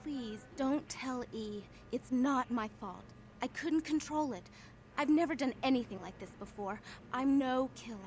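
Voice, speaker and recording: Game vampire, young, fairly high-pitched, distraught and guilty, halting confessional delivery, faint background noise. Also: female voice, a little mellow. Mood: anxious, pleading. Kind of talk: video game voice acting, dramatic dialogue.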